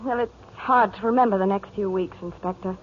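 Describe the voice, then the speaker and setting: A woman's voice: mid-range voice, fairly quick, close to an everyday voice. An actress playing an anguished businesswoman and mother in 1940s radio acting style. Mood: reflective. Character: anguished restaurant businesswoman and mother, grown woman under questioning. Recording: muffled, noisy 1940s radio broadcast.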